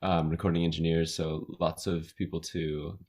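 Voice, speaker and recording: A man from California, with an American accent tinged with Australian, chatting as a guest singer-songwriter in a relaxed, hesitant way full of fillers. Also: low-pitched, normal speed. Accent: American, slightly Australian. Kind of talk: casual interview chat. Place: his home recording studio.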